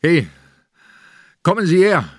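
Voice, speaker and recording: An older actor playing an old, ailing police inspector in a radio drama, urgent and imploring, with strained speech. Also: male voice, high voice, big pitch swings, slow pace, no echo, studio quality, heavily acted. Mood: impatient. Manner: imploring, insistent, commanding.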